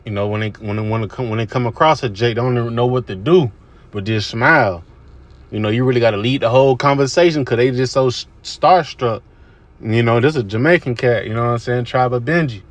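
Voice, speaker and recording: A man's voice: mid-range voice, fast. A man giving casual religious commentary over video clips, laid-back and repetitive, with many fillers. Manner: laid-back, repetitive with "you know what I'm saying".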